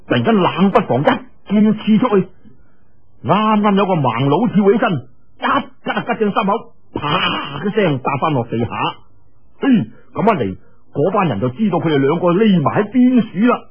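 A man's voice: fairly high pitch, slightly rough, highs cut off. A man with a Cantonese accent telling a martial-arts story in a dramatic, colloquial audiobook style, full of exclamations.

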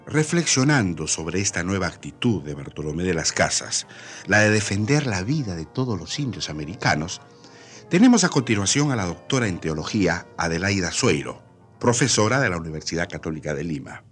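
A man's voice: sits low, sing-song, a little fast, a bit bright, faint music behind. A man hosting a radio program, reading scripted narration in a declamatory presenter's style.

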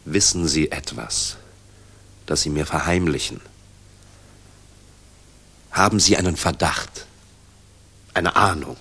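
A man's voice: extremely low pitch, slow, creaky, pauses often, sharp tone, slightly rough, heavily acted. A man acting a weary, hard-driven general in a 1960s German radio drama, terse, urgent and wry. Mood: urgent, pleading.

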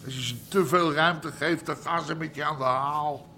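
An actor playing a middle-aged, grumbling old-school underworld boss in a radio drama, with an Amsterdam accent and blunt street talk. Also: male voice, on the high side, steady tone, moderate pace, pushed and bright, slight background noise. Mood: grumbling, brooding. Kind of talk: naturalistic radio drama acting.

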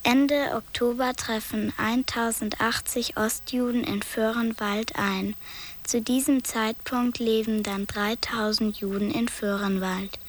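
A woman's voice: a little high, steady tone, measured pace, slight background noise. A woman reading factual documentary narration that reports figures.

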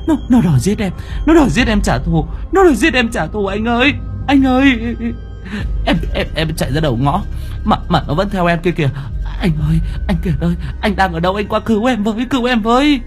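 A male narrator puts on a frightened young woman in a horror audio story, her pitch lifted well above his own. She stammers and repeats herself as she pleads for help, with music behind her. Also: very animated, a little fast. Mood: panicked, pleading. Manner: stammering, repeats words in panic.

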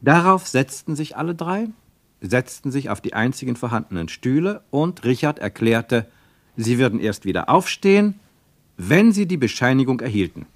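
A man's voice: medium pitch, very animated, moderate pace, no room sound. A man narrating a literary radio play in a dramatic, emphatic manner.

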